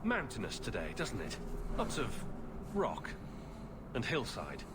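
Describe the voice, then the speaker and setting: A man's voice: mid-range voice, huge pitch swings, a little slow, background noise. An actor playing a wry, sarcastic male adventurer in a fantasy video game.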